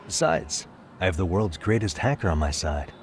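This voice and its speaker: A man's voice: deep voice, very animated, moderate pace, slightly rough, heavily acted. An actor playing a cool, determined male detective in a video game, talking in a terse, casual, unfazed way. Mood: confident. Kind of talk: English video game voice acting.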